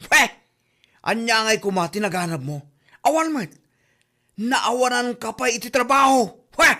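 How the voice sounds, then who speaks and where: A woman's voice: a little high, lively intonation, unhurried, sharp tone, heavily acted. A woman with an Ilocano accent acting as a mocking, scolding village busybody in a comedy drama. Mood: taunting.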